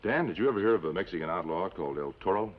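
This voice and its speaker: An actor playing a calm, serious, authoritative hero in an old television western, speaking articulately. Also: male voice, low voice, very fast. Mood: grave. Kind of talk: 1950s television western acting. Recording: muffled, noisy old TV western soundtrack.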